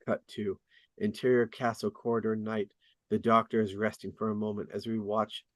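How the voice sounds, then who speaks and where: A man reading screenplay stage directions as scripted narration, with deliberate dramatic emphasis. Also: on the low side, flat delivery, dry recording. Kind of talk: scripted narration at a table read.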